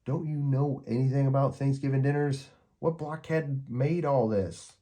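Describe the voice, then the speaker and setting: A man's voice: mid-range voice, steady tone, moderate pace, warm tone, pitched above the performer's own voice, close to an everyday voice. A man voicing a bossy, blunt young girl with a demanding, complaining manner in a storybook reading. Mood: indignant.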